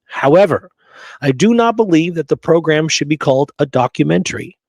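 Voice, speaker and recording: A man's voice: fairly high pitch, lively intonation, brisk, vocal fry, darkish tone, no echo. A man co-hosting a discussion show, reading out quotes and often checking in with "okay?" and "right?". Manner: frequent "you know" and checking "okay?", "right?". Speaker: show co-host.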